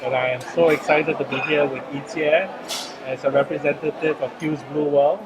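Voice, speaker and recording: A Singaporean man with a slightly high voice leads a casual interview, chatty and animated. Clear crowd noise from the public food court sits behind him. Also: normal speed. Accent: Singaporean English.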